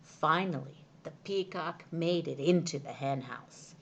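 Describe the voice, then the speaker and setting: A woman reading a children's storybook aloud as an expressive narrator. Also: low voice, unhurried, a little hiss or hum. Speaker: storytime reader.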